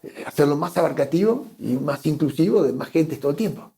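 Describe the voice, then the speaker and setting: An Argentine man with a gravelly, mellow voice, talking as an interview guest. He reasons his way forward and stumbles over repeated words as he looks for the right phrase. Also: a little high, animated, fairly quick. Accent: Argentine Spanish. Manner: thoughtful, repeats words while searching for phrasing. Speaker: guest economist. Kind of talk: conversational interview.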